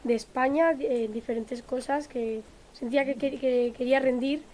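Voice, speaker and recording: Volunteer woman from madrid, kinda high but mellow voice, faint hiss. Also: fairly even intonation, moderate pace, rough recording. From Madrid, Spain. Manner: sincere, hesitant answers with restarts.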